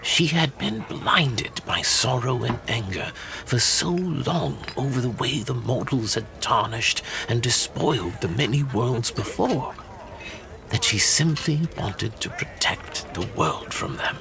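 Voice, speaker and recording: An actor playing a measured storyteller who recounts legends in solemn, deliberate, theatrical fantasy narration. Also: male voice, medium pitch, expressive, vocal fry, background noise.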